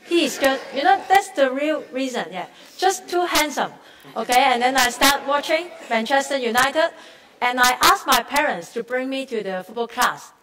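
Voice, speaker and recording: Chatty woman speaker, higher voice, heard from afar with faint noise. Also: expressive, moderate pace. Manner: chatty, tag questions like "okay?". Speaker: panel speaker, football coach. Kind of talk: personal story at a conference. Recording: distant mic, faint background noise.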